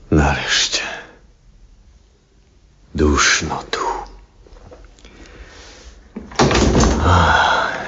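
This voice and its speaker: Old male stargazer near death, bass-deep, breathy, weary, pensive, radio drama soliloquy, muffled 1970s recording. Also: full character voice. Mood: weary, failing. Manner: slow, pensive soliloquy with rhetorical questions.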